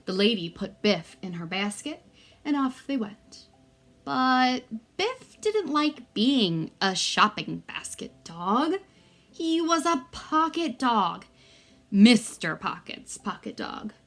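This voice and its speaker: A woman reading a children's story aloud expressively, with deliberate, dramatic emphasis for young listeners. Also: a little high, very animated, a bit bright.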